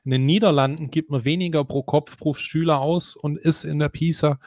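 A man's voice: on the high side, steady tone, moderate pace, a little mellow, dry recording. A man co-hosting a podcast argues in a blunt, dismissive way. The recording is dry and close. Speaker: podcast co-host. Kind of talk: casual debate.

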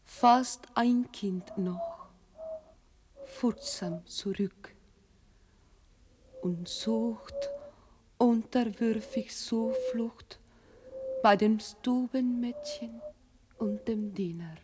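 An actress narrating a dark radio drama in a deliberate, dramatic manner, in short measured phrases. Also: female voice, a little high, big pitch swings, background noise, heavily acted. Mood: somber. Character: grave female narrator of a dark tale. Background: music.

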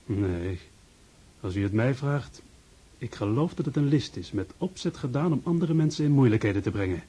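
Insolent gossiping soldier, radio drama, sardonic, medium pitch, husky. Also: male voice, lively intonation, normal speed, warm tone. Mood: knowing. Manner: sardonic, casual, knowing. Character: wry, insolent, gossipy army officer. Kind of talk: radio play acting.

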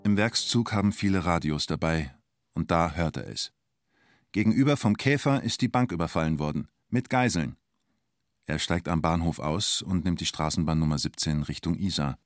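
An actor playing a narrator in a radio play, telling events in clipped, colloquial present-tense phrases. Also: male voice, low voice, moderate pace, dry recording, clean studio sound, close to an everyday voice. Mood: terse. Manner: terse, colloquial, short phrases.

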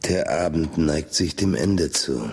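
An actor in a German radio drama playing a weary, sardonic older man who foretells catastrophe in a dry, deliberate way. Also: a bass voice, animated, darkish tone, slight background noise, exaggerated voice. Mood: weary resignation. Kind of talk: German radio drama acting, slow monologue.

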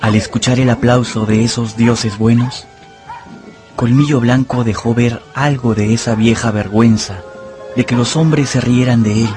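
A man acting as a male storyteller for a radio adventure novel. He delivers scripted narration at a middle pitch, with music softly underneath. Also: steady tone, moderate pace, lightly acted. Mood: tender storytelling. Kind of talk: dramatized audiobook narration.

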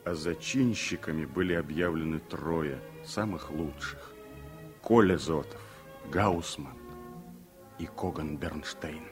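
Slow, grave former exile. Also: male voice, very deep voice, faint music behind. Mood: grieving, solemn. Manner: solemn, measured, weighty. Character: grave former political exile, a man haunted by memory. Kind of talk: Soviet radio play dramatic storytelling.

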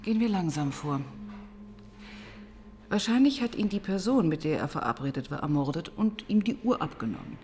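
A woman's voice: fairly low pitch, very animated, moderate pace, mellow, slight background noise. An actress playing a tough, sardonic middle-aged police detective in a German crime radio play, insistent and dry in her delivery. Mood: urgent. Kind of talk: German radio drama acting, first-person narration.

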